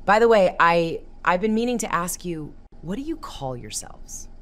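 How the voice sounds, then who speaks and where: A woman's voice: fairly low pitch, very animated, normal speed. A woman voicing a confident, caring young woman who leads a cartoon hero team in a comic dub. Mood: friendly. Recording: music playing under the voice.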